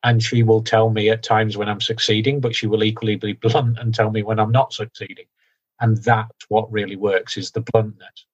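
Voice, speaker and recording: A man from the UK talking candidly as a podcast guest, loud and direct, often mocking himself. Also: fairly low pitch, flat delivery, moderate pace, highs cut off. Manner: loud, direct, self-deprecating. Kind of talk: candid conversational interview.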